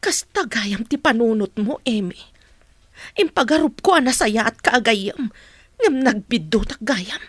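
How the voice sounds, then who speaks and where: Actress as outspoken protective lady in a radio drama, highish voice, ilocano accent, very dry recording. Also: expressive, measured pace, a bit bright, slightly rough, no room sound, heavily acted. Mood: indignant. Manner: earnest, emphatic, dramatic. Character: outspoken, protective woman, loyal family friend. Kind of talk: Filipino radio drama acting.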